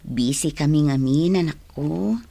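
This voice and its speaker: A woman's voice: low voice, measured pace, creaky, dark tone, husky, exaggerated voice. A woman playing a caring, cautious, fretful mother in an Ilocano radio drama. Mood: gently firm.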